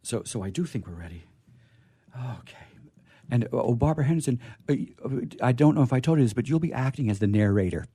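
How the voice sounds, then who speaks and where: A man's voice: mid-range voice, lively intonation, fast, mellow, breathy edge, no room sound, studio quality. A man hosting a live radio theatre show in a casual, rambling, jokey, folksy way. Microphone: studio microphone.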